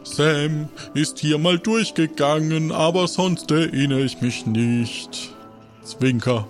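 Man playing a slow, cagey tavern innkeeper. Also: fairly high pitch, faint music behind. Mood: coy. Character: cagey tavern innkeeper pouring beer, playfully tight-lipped. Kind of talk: improvised role-play.

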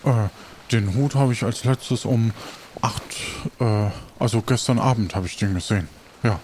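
A man's voice: on the low side, normal speed, a little mellow, slight background noise, close to an everyday voice. A man voicing an evasive, sheepish sailor in a pirate role-play, stammering and hesitant. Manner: hesitant, stammering, tag questions. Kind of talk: improvised role-play dialogue.

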